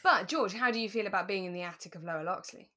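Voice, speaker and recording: A woman's voice: medium pitch, fast, bright, forceful tone. A woman co-hosting a podcast, chatting casually and playfully about a radio drama. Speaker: podcast co-host.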